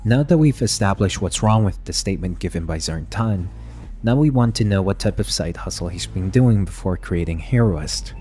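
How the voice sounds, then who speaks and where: A man's voice: low voice, expressive, normal speed, dark tone, a little hiss or hum. A man narrating a scripted investigative commentary video.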